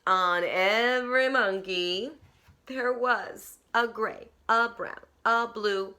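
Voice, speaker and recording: A woman reading a picture book aloud to young children like a teacher, dramatically, dropping into hushed whispers and coaxing listeners with questions. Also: on the high side, slow.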